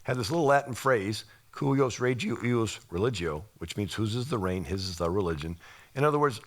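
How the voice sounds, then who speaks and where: A man with a slightly raspy voice giving an informal history lecture, explaining things and posing rhetorical questions as he goes. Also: on the low side, animated, normal speed.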